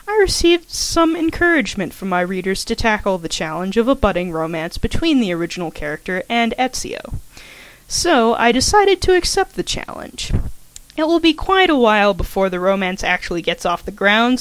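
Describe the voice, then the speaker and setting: Woman with lively pitch, chatty scripted delivery. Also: dry recording. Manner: chatty, self-aware asides. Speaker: fan fiction author and podfic narrator. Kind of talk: informal scripted foreword to an audio story.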